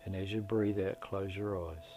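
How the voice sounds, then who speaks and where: A middle-aged man leading a guided meditation in a slow, deliberate, soothing delivery with long pauses. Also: low voice, steady tone. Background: soft meditation music.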